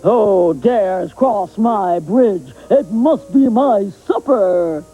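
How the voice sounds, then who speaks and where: Storybook troll, old and mean, slow and menacing, played by a woman. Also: medium pitch, animated, husky, phone-quality sound, faint music behind, heavily acted. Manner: slow, drawn-out menacing delivery. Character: mean old male troll guarding a bridge.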